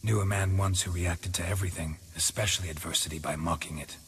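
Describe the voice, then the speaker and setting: An actor playing a weary, sardonic middle-aged monster hunter in a video game, with a low gravelly voice and deadpan delivery. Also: male voice, quick pace, a little hiss or hum, natural voice. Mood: quietly serious. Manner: deadpan, dry.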